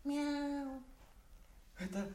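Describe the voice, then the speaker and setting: A woman's voice: high voice, extremely slow, warm tone, roomy sound. A woman playing a childlike court fool, teasing and mocking, in a theatrical audio drama. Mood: mocking, playful.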